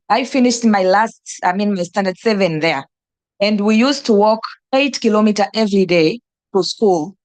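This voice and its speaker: A woman's voice: medium pitch, dry recording. A young woman from Tanzania, an NGO director and teacher, answering on a panel with many fillers and restarts.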